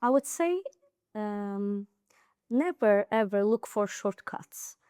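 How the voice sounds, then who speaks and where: Azerbaijani-accented young woman, smooth voice. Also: medium pitch, expressive, takes it slowly, darkish tone, dry recording, clean studio sound. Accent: Azerbaijani, Nakhchivan. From Azerbaijan, Nakhchivan region. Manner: earnest and advising, with many fillers and restarts. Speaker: interview guest, IELTS high scorer. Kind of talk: casual interview conversation.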